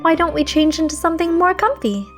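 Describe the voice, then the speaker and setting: An anxious, lovestruck teenage girl, voiced by a woman reading a story. The voice is squeaky and very high, stammering and flustered. Light music sits behind the lines. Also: lively intonation. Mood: forced cheer. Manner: stammering, rushed confessions. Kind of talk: story character dialogue.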